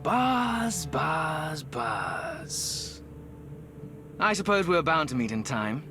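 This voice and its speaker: A decadent old mummy with a taste for vice, straight out of a video game. His delivery is languid and wry, sweeping theatrically up and down in pitch. Music is clearly audible behind him. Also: very animated, very slow, exaggerated voice. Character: jaded, vain, decadent ancient male mummy in expensive suit.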